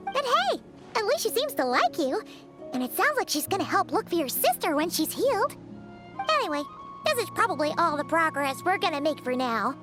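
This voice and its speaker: A woman playing a small, chirpy, childlike fairy companion in a video game, bubbly and expressive. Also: high-pitched.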